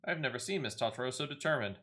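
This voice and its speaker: A man's voice: mid-range voice, lively intonation, quick pace, bright, forceful tone. A man voicing a dutiful escort guard in a fantasy video game, formal and deferential with archaic phrasing. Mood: respectful.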